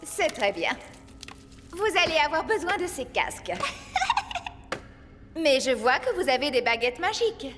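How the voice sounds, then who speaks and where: A woman's voice: sits high, sing-song, unhurried, pauses often, music in the background. A woman playing an officious, businesslike elf toy-factory worker in a French cartoon dub, in an echoey hall. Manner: businesslike, instructing.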